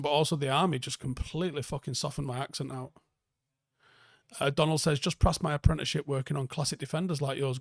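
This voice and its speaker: Male livestream host, softened Mancunian accent, creaky mid-pitched voice, close dry sound, blunt and sweary off-the-cuff chat. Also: no echo. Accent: northern English, softened Manchester. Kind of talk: casual chat, answering viewer comments.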